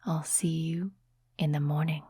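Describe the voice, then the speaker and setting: A woman voicing a sly, seductive demon in a soft-spoken ASMR roleplay, mostly whispering in a breathy, teasing, condescending way. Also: deep voice, steady tone, no echo, clean studio sound, exaggerated voice. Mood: gloating, soothing. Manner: slow, deliberate, teasing, condescending to mortals. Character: sly, seductive female demon tempting a mortal summoner.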